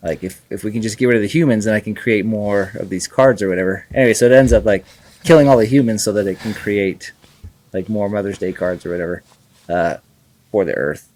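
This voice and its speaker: A man's voice: fairly low pitch, fairly quick, a little mellow. A man co-hosting a podcast in casual chat, telling stories in a loose, rambling way. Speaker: podcast co-host, fighter pilot.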